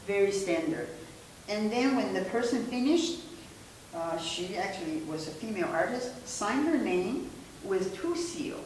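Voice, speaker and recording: A woman, presenting as a museum director in a friendly, gesturing way with many fillers. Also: medium pitch. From Taiwan. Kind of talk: casual gallery presentation. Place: indoor museum room. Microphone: far camera mic. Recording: echoey room, mic far off, faint hiss.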